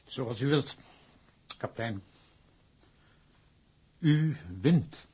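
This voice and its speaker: Radio drama casting: a beaten old company boss, husky, extremely slow, halting, stiffly formal. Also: male voice, medium pitch, a little mellow. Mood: wary, resigned. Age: older. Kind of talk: Dutch radio play acting.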